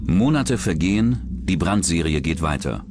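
A man's voice: low voice, lively intonation, moderate pace. A man reading scripted true-crime documentary narration as the narrator. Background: background music.

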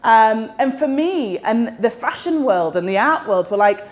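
Young woman, West Yorkshire accent, fairly high voice, chatty talk with fillers, handheld mic. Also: expressive, a little slow. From West Yorkshire, England. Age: young adult. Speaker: speaker at a tech meetup. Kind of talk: casual presentation talk. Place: large open event space with audience. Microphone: handheld microphone.

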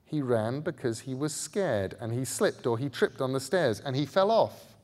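A man voicing a calm, brave young boy, polite and matter-of-fact, in a storybook reading. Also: medium pitch, normal speed, close to an everyday voice. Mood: thoughtful. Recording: echoing hall through a PA.

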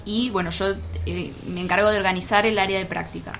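A woman's voice: medium pitch, fairly even intonation, a little fast, vocal fry, fairly bright tone, a little hiss or hum. A woman with an Argentine accent giving an informal, explanatory account in a radio interview. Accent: Argentine Spanish. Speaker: guest, teaching practice coordinator.

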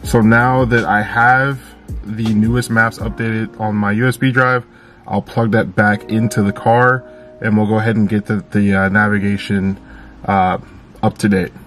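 Low-voiced man walking through a tutorial. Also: flat delivery, normal speed, fairly bright tone, faint music behind. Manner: casual, repeats steps, many fillers. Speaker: car channel host. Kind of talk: step-by-step tutorial talk. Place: inside a parked car.

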